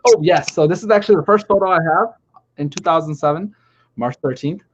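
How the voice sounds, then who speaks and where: A man's voice: a little high, brisk, a little hiss or hum. A man hosting a casual chat on a video call, rambling and chatty. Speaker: stream host. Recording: lo-fi call audio.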